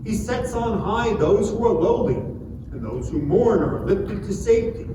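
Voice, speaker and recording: An earnest, admonishing friend in a scripture drama, performed by a man. He declaims preachily in a high voice, far from the mic in a very echoey space. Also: expressive, a little slow. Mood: exalted. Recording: echoey hall from afar, noisy.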